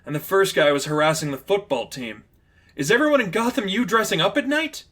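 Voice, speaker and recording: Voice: medium pitch, lively intonation, brisk, fairly bright tone, voice pushed up. A man voicing a young, wisecracking superheroine with a casual, emphatic manner in a story reading. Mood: concerned, casual.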